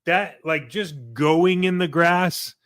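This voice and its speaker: A man's voice: a little high, fairly even intonation, slow pace, fairly bright tone. An American man co-hosting a podcast, talking in an informal, slangy way with plenty of "like" and "right?". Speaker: podcast co-host. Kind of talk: casual chat.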